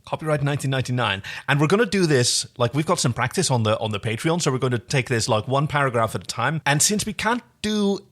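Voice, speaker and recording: A man's voice: mid-range voice, animated, fast talker, hardly any pauses, fairly bright tone. A man co-hosting a podcast in casual chat, introducing and reading fan fiction. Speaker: podcast co-host.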